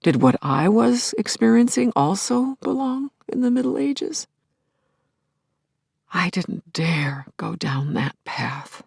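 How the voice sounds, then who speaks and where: A woman playing a middle-aged grieving widow in an audio play, telling her story as an intimate, expressive and reflective monologue. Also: medium pitch, takes it slowly, creaky, husky, no room sound, studio quality, exaggerated voice. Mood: animated, reflective. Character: grieving widowed scientist, wistful, wry, tender, anxious. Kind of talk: intimate dramatic first-person monologue.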